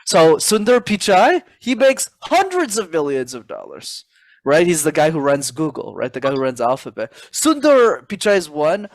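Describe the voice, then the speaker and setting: A man guesting on a podcast as a public speaking coach, talking in an animated, motivational way and often calling the host by name. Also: on the high side, moderate pace. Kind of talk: casual interview chat.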